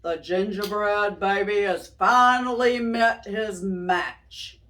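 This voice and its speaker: Woman reading slow-spoken storybook father. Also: medium pitch, steady tone, a bit bright. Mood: resigned. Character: storybook father, grown man, resigned after a failed chase.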